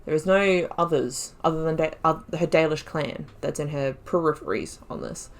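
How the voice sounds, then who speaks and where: Casting: chatty woman, gaming YouTuber, lowish voice, thinks aloud. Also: moderate pace. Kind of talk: casual commentary on a game playthrough.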